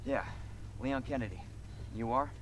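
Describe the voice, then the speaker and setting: A man's voice: medium pitch, a little slow, close to an everyday voice. A man playing an earnest, protective young police officer in a video game cutscene, sounding concerned. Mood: guarded. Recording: game cutscene under clear background noise.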